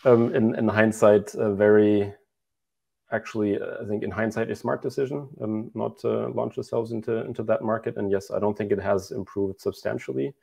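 A man's voice: on the low side, steady tone, moderate pace, creaky, darkish tone, breathy edge. A man in his thirties giving answers as a company head in a remote interview. He talks with many fillers and restarts. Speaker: company CEO, guest. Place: bright room at home, indoors. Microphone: video call.

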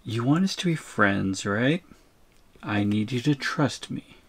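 A man acts as a tiny, exasperated captive in an audio roleplay. His voice is gravelly and swings widely in pitch as he negotiates, firm and incredulous. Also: lively intonation, takes it slowly, full character voice. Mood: firm, coaxing.

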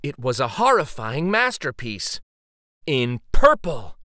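Bright, animated male storybook reader. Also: mid-range voice, dry recording, studio quality. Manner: theatrical, suspenseful pauses. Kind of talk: dramatic storybook read-aloud.

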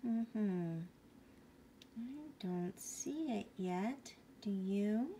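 A woman reads a bedtime picture book in a mellow, gentle voice that often drops toward a whisper. She takes her time and puts questions to a young listener. Faint room noise sits behind her. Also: medium pitch, animated, extremely slow. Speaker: bedtime story reader. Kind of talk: reading a picture book aloud to young children.

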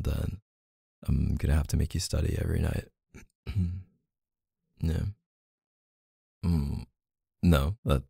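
Man acting as deep, gravelly, teasing boyfriend. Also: no echo, clean studio sound, exaggerated voice. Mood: flustered, teasing. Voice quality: low, gravelly, soft. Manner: teasing, murmuring, hesitant pauses and hums. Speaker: audio roleplay performer. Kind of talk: intimate ASMR boyfriend roleplay.

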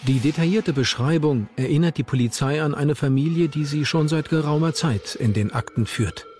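A man's voice: fairly high pitch, normal speed, darkish tone. A man reading scripted documentary narration as the narrator. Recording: music under the voice. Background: background music.

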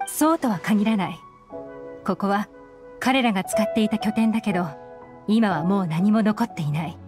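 An actress voicing a cool, shrewd young woman who runs an intelligence network in a video game, composed, deliberate and knowing. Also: mid-range voice, natural voice. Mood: cool, analytical. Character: cool, shrewd female intelligence operative and boss. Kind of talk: Japanese video game voice acting. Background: background game music.

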